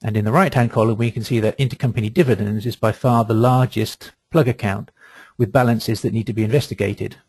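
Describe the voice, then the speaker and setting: A man presenting a software walkthrough in a webinar, explaining each step in a methodical, measured way. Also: lowish voice, fairly even intonation, husky, no room sound.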